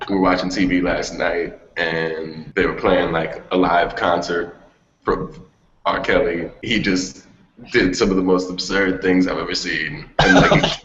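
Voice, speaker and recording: Male talk host, low register, casual delivery, muffled roomy recording with music underneath. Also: fairly even intonation, a little slow, a bit bright. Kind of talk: casual chat.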